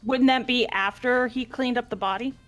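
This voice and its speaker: A woman's voice: a little high, steady tone, moderate pace, faint music behind. A woman casually reasoning aloud as a player in a group game playthrough.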